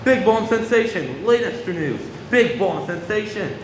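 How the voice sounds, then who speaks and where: A newspaper seller, acted by a man, chants a street cry in a radio play. The high voice rings with echo and long reverb over faint noise. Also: animated, moderate pace. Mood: hawking. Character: newspaper seller calling out headlines.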